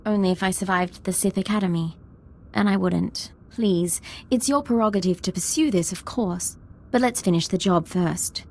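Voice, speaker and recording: A woman playing an earnest young female military ensign in a video game, speaking with crisp, dutiful formality. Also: medium pitch, normal speed, lightly acted. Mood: guarded, candid. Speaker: game character voice actor. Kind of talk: video game dialogue acting.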